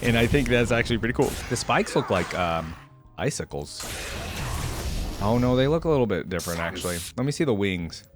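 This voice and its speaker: A man's voice: mid-range voice, animated, fairly quick, music in the background. A man giving casual, off-the-cuff reaction commentary as a video host, thinking aloud in an informal, exclamatory way.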